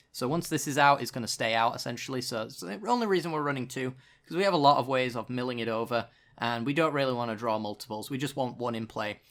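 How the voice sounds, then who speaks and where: A man's voice: mid-range voice, a bit bright. A man giving casual, chatty card game commentary with dry sarcasm, still getting over a tickly sore throat. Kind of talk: gameplay commentary.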